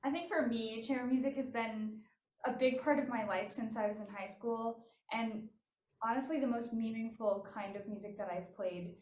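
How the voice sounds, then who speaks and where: A woman's voice: a little high, fairly even intonation, normal speed, darkish tone. A young adult woman with an American accent, a violinist answering interview questions conversationally. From Chicago, United States. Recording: echoey room.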